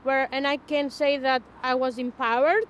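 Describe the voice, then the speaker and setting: High-voiced Greek woman in her fifties. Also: flat delivery, slight background noise. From Greece. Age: 50s. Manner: halting, with small grammar slips. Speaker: interviewee, performance artist. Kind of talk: documentary interview testimony.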